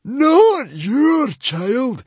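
A man's voice: high voice, lively intonation, slow pace, darkish tone, breathy edge, dry recording, pitched above the performer's own voice, exaggerated voice. A man voicing a hissing, whiny male librarian villain in an audiobook reading. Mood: menacing, screeching.

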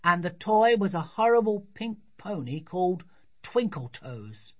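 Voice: medium pitch, very animated, unhurried, voice pushed up. An adult storybook reader voicing a blunt, defiant, bossy little girl. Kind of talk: children's storybook reading.

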